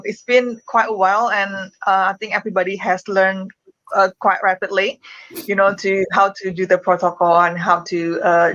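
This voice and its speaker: A woman's voice: mid-range voice, fairly bright tone. Woman host wrapping up a live q&a, lots of ums and uhs, a bit dull sounding call. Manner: halting, filler-heavy wrap-up. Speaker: host for a study-abroad agency. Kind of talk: live online Q&A.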